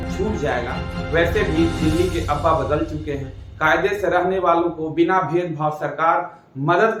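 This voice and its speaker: Higher-pitched man narrating news in roomy echo. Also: fairly bright tone. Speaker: presenter. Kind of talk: scripted news commentary narration. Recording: roomy echo with music behind. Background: background music.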